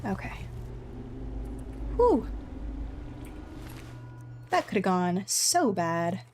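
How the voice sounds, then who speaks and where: A woman's voice: mid-range voice, very animated, very slow, background noise. A woman giving casual, chatty gameplay commentary, thinking aloud with playful little exclamations. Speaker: gamer streaming a blind play-through.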